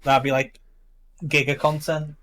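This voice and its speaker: A man's voice: fairly high pitch, level tone, unhurried, a bit bright. A young man with a Liverpool accent, chatting casually and informally with plenty of slang. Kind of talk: casual chat.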